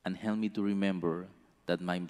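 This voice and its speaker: Deep-voiced priest in a reverberant church. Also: male voice, steady tone, a little mellow, clear, resonant tone. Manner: slow, measured delivery with careful pauses. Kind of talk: gospel reading and homily. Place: church sanctuary. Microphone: stand mic at a lectern.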